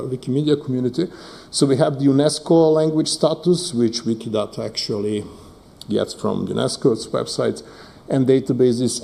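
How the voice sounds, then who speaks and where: A man's voice: medium pitch, vocal fry, warm tone. A man whose first language is Serbo-Croatian, giving a technical presentation with many fillers and frequent "right?" checks. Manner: hesitant, many fillers, asks "right?" often.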